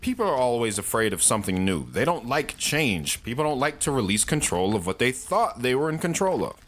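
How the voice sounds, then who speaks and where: An actor playing a steady, philosophical friend in an audio drama, speaking in a measured, reflective way. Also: male voice, medium pitch. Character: steady, philosophical male friend giving folksy counsel.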